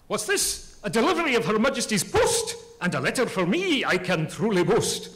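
A man's voice: high voice, huge pitch swings, moderate pace, fairly bright tone, full character voice. A man playing a grandiose, self-important poet who declaims in rhyme in a theatrical comic reading. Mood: grandly excited. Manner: pompous, declamatory, rhyming.

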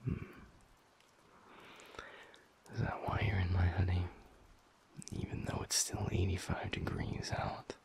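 Guy doing a teasing werewolf boyfriend for audio rp, slow breathy whisper, playful and fond. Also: deep voice, little pitch movement, full character voice. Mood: tender teasing. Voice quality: breathy, husky near-whisper. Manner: playful teasing with soft chuckles and unhurried pauses. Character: teasing, affectionate alpha werewolf boyfriend, low and intimate. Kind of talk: intimate boyfriend audio roleplay.

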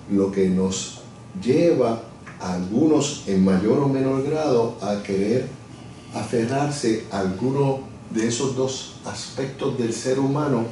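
A man's voice: fairly low pitch, mellow, a little hiss or hum. A middle-aged man being interviewed in an office, explaining his views thoughtfully and often searching for words with restarts. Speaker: interview guest, lawyer and communications director. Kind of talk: reflective conversational explanation. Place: office, seated at a desk. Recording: echoey room from far off.

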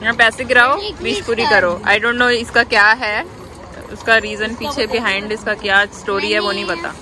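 A woman's voice: medium pitch, steady tone, normal speed, background noise. A woman chatting casually while sightseeing outdoors, explaining prices to the camera. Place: busy city square outdoors. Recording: loud crowd and music behind.

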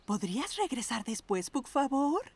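A woman voicing a gentle mother pug in a cartoon, speaking in a motherly way. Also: sits high, sing-song, normal speed, husky, exaggerated voice. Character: warm mother pug, gentle adult female. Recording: studio voice with children's music behind.

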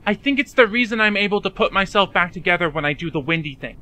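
Fan-dub drama role: despairing young man played by a man, high and sharp-edged, halting, anguished, pleading. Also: animated. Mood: agitated, scared.

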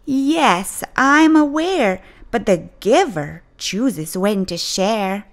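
A woman's voice: mid-range voice, expressive, drawn-out words. A woman voicing a patient but firm female sea-creature teacher in a children's storybook.

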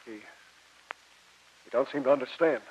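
An actor playing a hot-headed American harpooner in an old radio drama, blunt, combative and folksy. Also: male voice, mid-range voice, slow, slight background noise, close to an everyday voice. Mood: blunt, impatient. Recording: muffled old radio recording.

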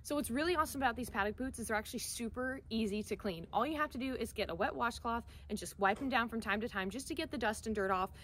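A woman's voice: medium pitch, fairly bright tone. A young American woman talking enthusiastically and emphatically, in the casual, chatty style of a vlogger reviewing products to camera. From Central Florida, United States. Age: young adult. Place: outdoors, by a wooden wall and open field. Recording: noisy outdoor camera audio.

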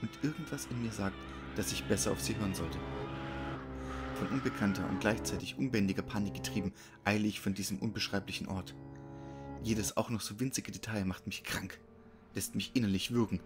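Low-voiced male horror narrator over music. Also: fairly even intonation, a little fast. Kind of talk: scripted dramatic narration of a horror story. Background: music behind the voice.

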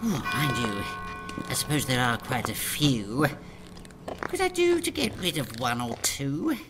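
A woman's voice: very deep voice, very animated, measured pace, heavy background noise, heavily acted. A woman playing a harried, evasive, snooty lord with an impatient manner in a comic audio drama. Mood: weary, complaining. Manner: impatient, exasperated.

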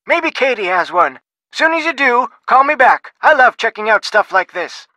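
A blustery folksy researcher. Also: male voice, extremely high pitch, very animated, normal speed, bright, forceful tone, no echo, exaggerated voice. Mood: gruff. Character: gruff folksy older male shipwreck researcher. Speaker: phone contact in a game.

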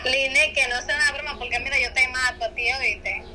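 Young woman, highish voice, earnest admonishing friend, noisy speakerphone sound. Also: brisk. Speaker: friend on the phone. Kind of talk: casual phone chat. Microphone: phone speaker picked up by camera. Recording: noisy phone call on speaker.

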